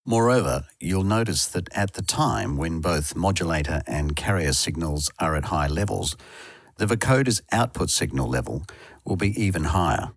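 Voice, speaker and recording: A man's voice: low voice, lively intonation, moderate pace, dry recording. A man presenting a tutorial in scripted instructional narration, recorded as a close, dry voice-over. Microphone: close dry voice-over microphone.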